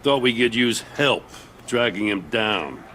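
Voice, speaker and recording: An actor playing a weary, cautious veteran police commissioner in a video game, world-weary and matter-of-fact. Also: male voice, medium pitch, lively intonation, measured pace, a bit bright, slight background noise. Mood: reflective, cautious.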